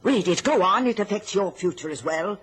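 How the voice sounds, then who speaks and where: A woman's voice: medium pitch, lively intonation, a little fast, slightly rough. An actress playing a bitter, scheming, self-pitying middle-aged mother in an old radio drama, with an indignant, martyred, theatrical manner. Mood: scornful, goading.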